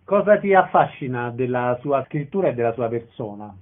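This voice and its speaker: A man's voice: medium pitch, expressive, normal speed. A man working as a radio interviewer, asking questions and announcing event details. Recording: narrow band-limited radio sound.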